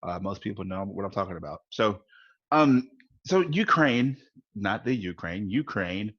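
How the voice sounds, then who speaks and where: A man's voice: fairly low pitch, animated, a little slow, fairly bright tone, husky, no echo, muffled recording. A man from the United States hosting a podcast interview, friendly and encouraging toward his guest. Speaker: podcast host. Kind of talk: casual interview conversation.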